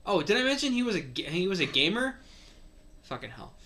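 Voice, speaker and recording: A man's voice: high voice, very animated, a little fast, pushed and bright, a little hiss or hum. A man reading a story aloud as a co-host, slipping in casual asides.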